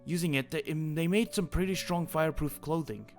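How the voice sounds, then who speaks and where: A man's voice: on the high side, normal speed, faint music behind. A man improvising a school headmaster in a game roleplay, explaining things at length in a chatty way.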